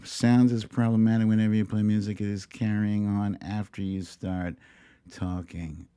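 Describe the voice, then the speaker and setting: A man's voice: lowish voice, little pitch movement, moderate pace, warm tone, smooth, no room sound. A middle-aged man hosting a livestream, chatting casually and directly to his viewers in a rambling, conversational way. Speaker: host of a livestream show. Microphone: streaming microphone at a laptop setup.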